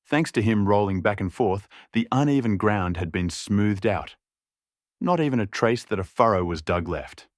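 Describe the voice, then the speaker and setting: A man's voice: on the low side, expressive, moderate pace, dry recording, studio quality. A man reading a scripted story as an audiobook narrator.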